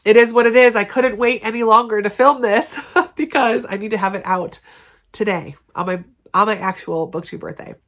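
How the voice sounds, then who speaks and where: A congested woman talks straight to her viewers in a relaxed, chatty vlog. Her voice sits at a medium pitch and sounds stuffy from a cold. She keeps things upbeat and slips in small laughs. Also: normal speed. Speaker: BookTube channel host.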